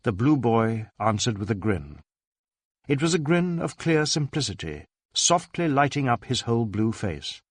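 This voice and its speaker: A man reading a story as a scripted audiobook narrator, in an expressive storytelling manner. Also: medium pitch, normal speed, no room sound, studio quality.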